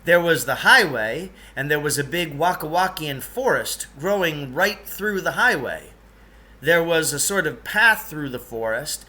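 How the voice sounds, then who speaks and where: A man's voice: fairly high pitch, pushed and bright. A man reading a children's novel aloud in a storytelling style. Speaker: reader, teacher reading aloud.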